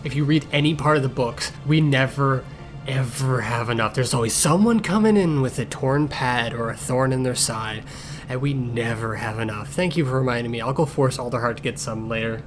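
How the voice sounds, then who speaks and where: A man's voice: medium pitch, moderate pace, lightly acted. Grumpy cat medic for a cartoon fandub, played by a man: dry, sarcastic, blunt, over prominent music. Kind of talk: improvised-sounding voice-acted fan Q&A. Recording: music playing behind the voice.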